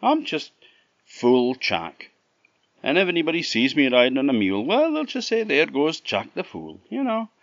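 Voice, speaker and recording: A high, bouncing voice for Jack, a cheerful young fool in a folk tale, as a male storyteller puts him on. He talks in an excitable, pleading way, leaping up and down in pitch. The sound is a little dull and dry. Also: moderate pace, dry recording. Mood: insistent. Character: cheery humble young man, a self-styled fool.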